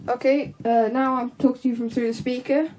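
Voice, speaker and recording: A woman's voice: on the high side, level tone, a little fast, a little mellow. A young woman gives an informal, unscripted hands-on tutorial in a hesitant, offhand way, trailing off into asides. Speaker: sound tech tutorial presenter.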